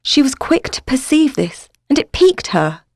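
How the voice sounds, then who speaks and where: A woman's voice: sits high, very animated, moderate pace, darkish tone, no echo, studio quality. A woman narrator reading a scripted story in a literary storybook style.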